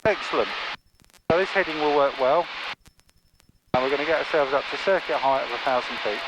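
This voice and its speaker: A man giving live flight instruction in a calm, encouraging, coaxing manner. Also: fairly high pitch, brisk. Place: small training aeroplane cockpit in flight. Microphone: aviation headset intercom. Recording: noisy light aircraft cockpit, radio headset. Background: loud engine drone.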